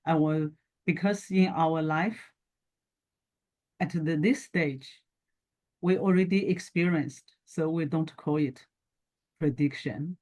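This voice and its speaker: A middle-aged woman with a Chinese accent talks as an interview guest. Her delivery is slow and halting, broken into short phrases with pauses between them. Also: low voice, dark tone. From China. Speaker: guest, scientist and entrepreneur. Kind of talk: talk show interview, telling personal background.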